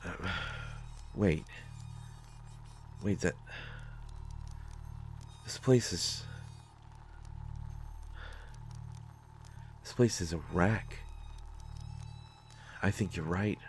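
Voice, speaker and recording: Low-voiced, stammering, nervous man, acted out by a man. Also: soft background music. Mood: wary, thoughtful. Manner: halting, stammering, hesitant. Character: nervous, awkward, well-meaning man in a dark sci-fi mystery. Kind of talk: solo scripted audio drama, conversational acting.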